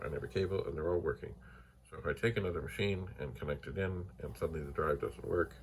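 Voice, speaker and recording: A soft-spoken man casually thinking aloud while testing old computer gear in his home computer room, trailing into whispered asides. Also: deep voice, a little hiss or hum. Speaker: retro computer vlogger. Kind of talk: casual rambling narration while testing hardware. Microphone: phone mic.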